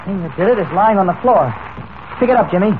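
Noisy tinny radio, mild reporter, high voice, inquisitive, lilting. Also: male voice, lively intonation, fast. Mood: alert. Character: mild-mannered, polite male newspaper reporter. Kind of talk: 1940s radio acting.

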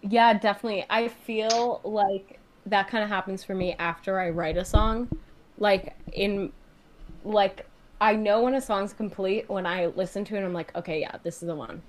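A young woman speaks in a relaxed, everyday conversational voice, talking freely about her own music. She fills her sentences with "like" and "kinda" and lifts her voice when she replays her reactions. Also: moderate pace, a little hiss or hum. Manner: chatty, fillers, acts out her own reactions with rising pitch. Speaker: singer-songwriter guest. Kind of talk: casual interview chat.

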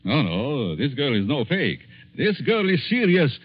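Sinister, slow-talking radio madman, wildly swooping. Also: mid-range voice, huge pitch swings, slightly rough, exaggerated voice. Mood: taunting, menacing. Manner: menacing, theatrical, taunting. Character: gloating, sinister madman experimenting with murder. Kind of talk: 1940s radio suspense acting. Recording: dull, band-limited 1940s radio recording.

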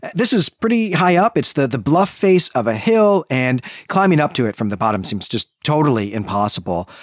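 Man with lively pitch recapping a book. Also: no echo, studio quality. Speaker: podcast host. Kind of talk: conversational literary recap and commentary.

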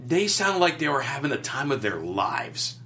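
A man with a bright, forceful tone walks through wrestling matches step by step. He talks in a casual, chatty way, listing each move in short bursts. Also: mid-range voice, sing-song, a little hiss or hum. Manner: rapid play-by-play recounting. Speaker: wrestling recap co-host. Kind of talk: casual recap chat.

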